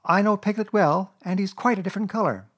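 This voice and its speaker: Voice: medium pitch, fast talker, a bit bright, pitched above the performer's own voice, lightly acted. A storybook reader voicing a kindly, matter-of-fact young boy. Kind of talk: children's storybook character voice.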